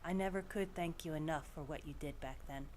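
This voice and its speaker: A woman's voice: sits low, a little fast, a little mellow, lightly acted. A woman playing a practical, caring middle-aged investigator in an audio drama, talking in a plain, conversational way. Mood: warm, reassuring. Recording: music behind the voice.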